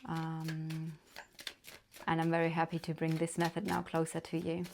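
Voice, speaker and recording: A woman from Germany with a German accent, giving a casual tarot card reading with gentle, unhurried talk and many fillers. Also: deep voice, monotone, creaky, a little hiss or hum. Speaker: tarot reader.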